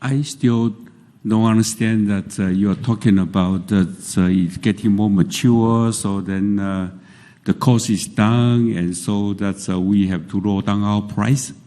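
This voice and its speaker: A man's voice: fairly low pitch, fairly even intonation, a little slow, mellow. A male company executive answering off the cuff on an earnings call in a blunt, plain-spoken way. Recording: reverberant conference room call sound.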